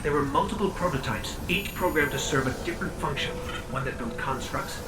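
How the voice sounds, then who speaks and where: A man's voice: a little high, fairly quick, very noisy, natural voice. A man voicing an upbeat, curious robotic guide in a cinematic game, with a wry, quippy delivery. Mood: curious, busy.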